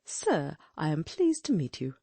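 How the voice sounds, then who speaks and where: Female narrator as quick-witted male detective, commanding, brisk and inquisitive, husky, dry recording, audiobook story. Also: low voice, huge pitch swings, dark tone, no echo, pitched below the performer's own voice, exaggerated voice.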